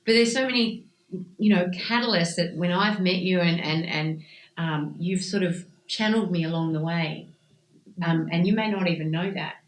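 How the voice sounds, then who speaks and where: A woman's voice: on the low side, moderate pace. A woman interviewing a cycling coach in a casual, reflective chat. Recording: echoey room, faint background sound.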